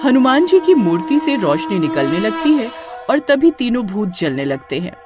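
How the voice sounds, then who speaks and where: Lively woman narrating a spooky story. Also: a little high, normal speed, over music. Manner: dramatic, suspenseful storytelling.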